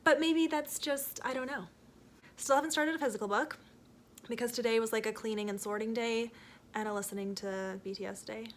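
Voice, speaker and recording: A woman's voice: on the high side, fairly even intonation, a little fast, slight background noise. A young Canadian woman with a Canadian accent, chatting casually at home in a rambling vlog style, full of fillers and self-corrections. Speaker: book vlogger. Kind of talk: casual reading vlog chat. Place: home, seated in a rocking chair.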